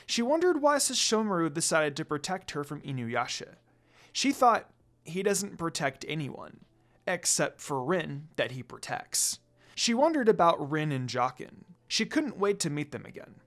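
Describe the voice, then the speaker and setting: Story narrator with lively, swinging pitch. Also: unhurried. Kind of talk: scripted fan fiction narration.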